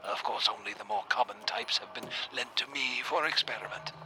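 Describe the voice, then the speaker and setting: Secretive courteous gentleman, breathy half-whisper, very sharp hissing edge, faint noise, radio drama. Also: medium pitch, a little fast. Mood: calm, controlling. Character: secretive, courteous male host giving soft instructions.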